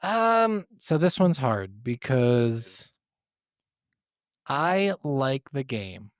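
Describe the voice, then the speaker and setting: A man with a mid-pitched voice, recorded dry with little room sound. He chats as a gaming podcast co-host, blunt and informal, swearing freely. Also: big pitch swings, drawn-out words, no room sound. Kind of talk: casual chat about video games.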